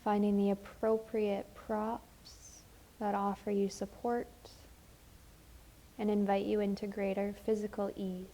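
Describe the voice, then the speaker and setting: A woman leading a guided meditation as a teacher, speaking gently and soothingly, with unhurried phrases and long pauses. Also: medium pitch, monotone, low-quality recording.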